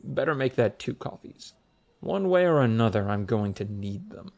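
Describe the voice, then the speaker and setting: Harried middle-management grump played by a male narrator, dry and exasperated, mid voice, lively swings, comic audiobook. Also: medium pitch, moderate pace, gravelly. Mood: weary, dry. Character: harried, grumpy, overworked resort manager, adult man.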